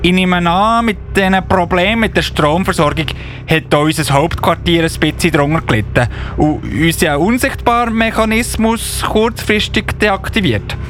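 A man as an excitable high-pitched little elf policeman. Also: faint music behind. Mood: explaining, flustered. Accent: Swiss German. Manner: halting, excitable, often interjecting excuses. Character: eager little male Christmas elf policeman, bumbling but helpful. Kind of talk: children's Christmas radio play acting.

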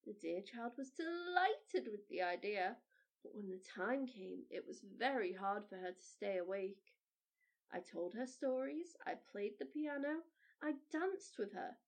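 A woman hosting a children's storytime, narrating a storybook in an expressive, animated way. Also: medium pitch. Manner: animated, addressing children directly.

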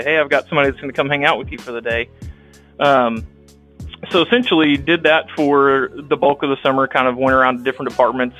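A young man with a Texan accent, a clinic director telling his career story in a casual interview, halting with frequent restarts. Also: medium pitch, a bit bright, low-quality recording. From Texas. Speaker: guest, rural health clinic director.

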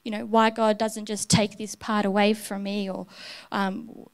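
A woman's voice: mid-range voice, flat delivery, measured pace. A woman preaching a sermon in church, telling a personal story in a candid, heartfelt way.

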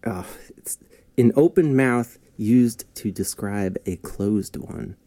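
A man's voice: lowish voice, slow, dark tone, slight background noise. A young man, a guest musician, chatting casually and laughing often at himself. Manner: laughs often, self-deprecating. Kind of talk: casual podcast chat.

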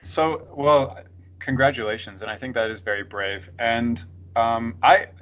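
A man interviewing a guest in a relaxed, chatty way, asking long, rambling questions full of "like.". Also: mid-range voice, a little slow, dull audio. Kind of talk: conversational interview.

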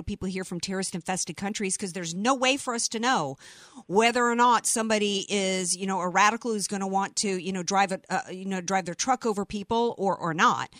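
A woman's voice: mid-range voice, quick pace, fairly bright tone, dry recording. Woman hosting political talk radio, dry sound. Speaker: talk show host.

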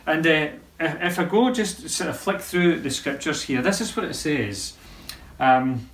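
A man preaching a sermon as pastor of a local church, conversational and halting, often restarting his sentences. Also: fairly high pitch, lively intonation, a bit bright. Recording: echoey room from a distance.

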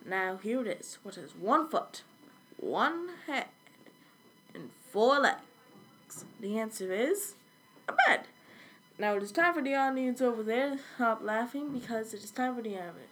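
A teenage girl hosts a school interview, bright and chirpy with a high voice as she reads from her script. A faint noise sits under the recording. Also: lively intonation, measured pace. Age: young teen. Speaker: student interviewer and show host.

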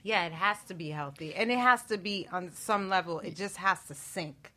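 A woman's voice: fairly low pitch, moderate pace, sharp tone. A woman chatting casually about relationships in a panel talk, often repeating and restarting her phrases.

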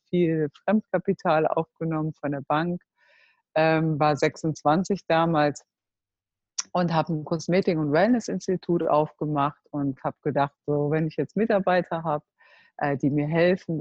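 A woman in her forties from Germany telling her life story as a guest, earnest and halting, often searching for words. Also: low-pitched, darkish tone, no room sound. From Germany (Cologne). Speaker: entrepreneur, guest. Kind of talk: personal life story in a group talk. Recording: dull, dry close recording.

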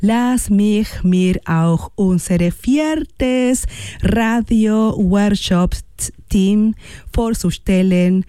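Slow, mellow woman radio host. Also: mid-range voice, clean tone, no echo, studio quality. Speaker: radio host and workshop leader. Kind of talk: partly scripted radio presentation with informal questions.

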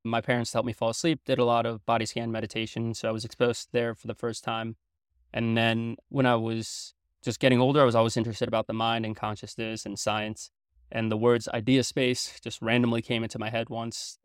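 A man's voice: medium pitch, level tone, brisk, smooth, no echo, studio quality. A young man from Belgium, a native French speaker, talking as a guest in a casual interview chat, earnest and explanatory, with a casual manner full of fillers and restarts.

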